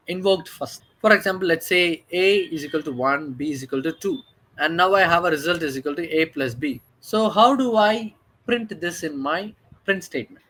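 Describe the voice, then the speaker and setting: Man, fairly high voice, programming instructor, explanatory, self-questioning, says "okay" a lot. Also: pushed and bright. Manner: explanatory, asks and answers his own questions; frequent "okay" and "right" tags. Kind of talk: screen-share coding lesson.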